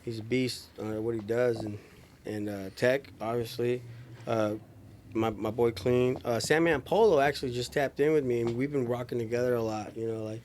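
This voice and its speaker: Male, mid-pitched, loose slangy podcast talk with filler words, clear background noise. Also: steady tone, normal speed, a little mellow. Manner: slangy, says "like" and "you know" often. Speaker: podcast guest, recording studio engineer. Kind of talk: casual chat. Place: small room with couches, indoors.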